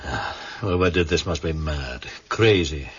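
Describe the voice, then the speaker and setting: An actor playing an assured forensic pathologist in a radio crime drama, clinical and deliberate. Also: male voice, extremely low pitch, expressive, dull audio, a little hiss or hum. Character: dry, assured male forensic pathologist explaining findings. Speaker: police pathologist.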